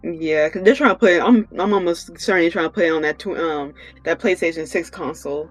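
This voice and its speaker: A woman co-hosting a podcast in a loose, conversational way. Her voice sits in an ordinary range, with soft music playing underneath. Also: on the low side, brisk. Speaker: podcast co-host and game streamer. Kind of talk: casual unscripted podcast chat.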